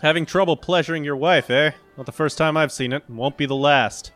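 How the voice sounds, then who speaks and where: A man's voice: a little high, a little fast, natural voice. Smug burly quack therapist, played by a man, cartoon heavy, chiding and sure of himself, over music. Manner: smug, chiding, pseudo-professional. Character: big bearded cartoon villain posing as smug sex therapist.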